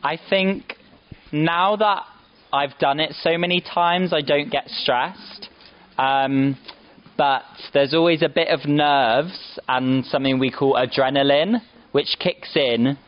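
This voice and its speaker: A man, a stage actor, answering audience questions in measured, plain words. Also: medium pitch. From London, England. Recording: dull lo-fi sound.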